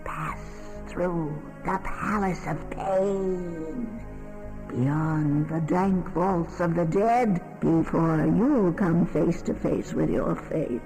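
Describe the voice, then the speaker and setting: An actor playing an ominous otherworldly guide, solemnly and portentously narrating a dark fantasy game. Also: male voice, on the high side, very slow, soft background music, rough recording.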